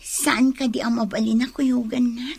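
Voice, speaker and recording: A woman's voice: a little high, fairly even intonation, normal speed, creaky, darkish tone, breathy edge, dry recording. A woman playing a shy, sweet young provincial woman in an Ilocano radio drama, with an Ilocano accent. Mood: worried.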